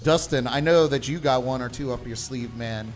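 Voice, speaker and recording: A man's voice: medium pitch, normal speed, soft background music. A man hosting a casual livestream chat, joking around and laughing easily. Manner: jokey, easygoing, laughs often. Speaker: podcast host.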